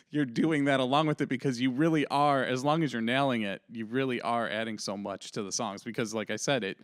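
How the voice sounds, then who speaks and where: Smooth-voiced man hosting a podcast. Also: medium pitch, dry recording, clean studio sound. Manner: easygoing, agreeable, laughs often. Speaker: podcast host. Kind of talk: casual chat.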